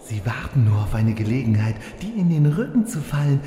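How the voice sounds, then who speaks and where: An actor playing a sinister, commanding spirit that speaks inside a warrior's head in a parody fantasy audio play. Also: male voice, medium pitch, sing-song, fairly quick, mellow, close to an everyday voice. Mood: scheming incitement. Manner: commanding, scheming, exasperated. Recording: cavernous echo, long reverb, hissy.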